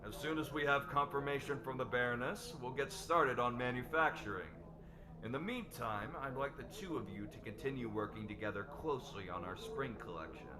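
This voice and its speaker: A man's voice: mid-range voice, a little fast, crowd noise, natural voice. A man playing a formal, businesslike company board chairman in an audio drama.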